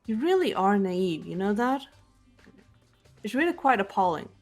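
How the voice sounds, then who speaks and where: A woman voicing a cool, analytical teenage girl detective, calm and measured, lecturing with precise logic. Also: mid-range voice, faint music behind. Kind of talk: amateur voiced game playthrough.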